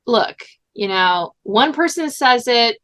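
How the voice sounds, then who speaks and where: A woman hosting a podcast in casual conversation, animated and informal, often saying "like" and "you know". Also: fairly high pitch, slow. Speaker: podcast host and writer.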